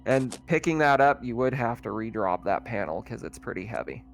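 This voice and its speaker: A man acts as game master in a casual tabletop session. His voice is mid-pitched with noticeable fry, and he narrates off the cuff, conversationally, over faint music. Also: sing-song, normal speed. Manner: casual, conversational, thinking out loud.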